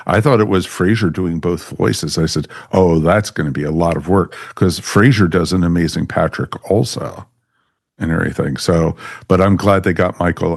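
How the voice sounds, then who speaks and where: A man's voice: low-pitched, creaky, no room sound, studio quality. A man hosting a casual review podcast, talking informally with repeated phrases and loose conversational asides. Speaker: podcast host.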